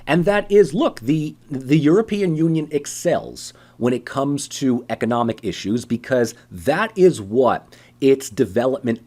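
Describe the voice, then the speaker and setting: Lively, chatty male politics lecturer. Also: medium pitch. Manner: conversational, many "you know" and "um" fillers, rhetorical questions; animated, acts out voices of ordinary people. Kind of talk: recorded university lecture.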